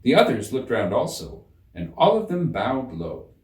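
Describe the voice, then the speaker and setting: A man giving a measured, theatrical reading of a children's story in an echoing room. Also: mid-range voice, huge pitch swings, a little mellow, distant mic. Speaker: audiobook narrator. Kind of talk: expressive scripted storybook narration. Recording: roomy echo, slightly off the mic.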